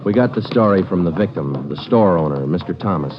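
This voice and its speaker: A man's voice: low voice, lively intonation, brisk. An American man playing a terse, matter-of-fact police sergeant in clipped 1940s radio drama narration. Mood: deadpan, businesslike. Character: terse, businesslike male police sergeant narrating his cases. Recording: dull vintage 1940s radio recording, music behind.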